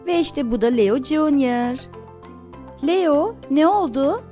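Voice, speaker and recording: A woman narrating a children's cartoon in a simple, expressive way, talking to the characters and asking questions. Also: high voice, takes it slowly. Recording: studio voice-over with faint music behind. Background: faint music.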